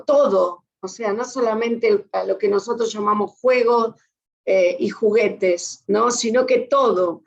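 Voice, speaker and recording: A woman with an Argentine accent teaching a class spontaneously, prompting people with questions. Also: a little high. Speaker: course teacher. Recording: dull online class audio.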